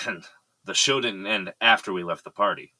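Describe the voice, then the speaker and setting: A man's voice: deep voice, normal speed, pushed and bright, dry recording, full character voice. An actor playing a composed, serious, fatherly boss of an architecture firm in an audio drama. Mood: concerned, earnest.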